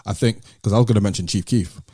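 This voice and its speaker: A British man hosts a casual podcast in a low, very mellow voice. The sound is close and dry. He explains things step by step, in a conversational way. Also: quick pace, slightly rough, dry recording. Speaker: podcast host.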